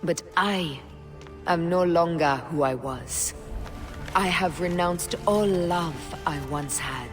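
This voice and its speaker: An actress playing a solemn, resolute woman assassin in a video game, speaking in a hushed, grave and deliberate way. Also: fairly low pitch. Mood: solemn resolve. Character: solemn, resolute woman assassin, betrayed former servant of queen. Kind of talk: dramatic video game acting and narration. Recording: music under the voice. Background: music.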